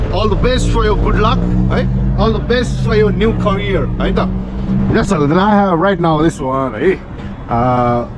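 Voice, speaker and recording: A man from Nepal with a Nepali accent, vlogging on a city street at night, switching fast between English and Nepali and tagging many questions. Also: high-pitched, background noise. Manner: rapid mix of English and Nepali, frequent tag questions. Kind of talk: casual vlog talk to camera.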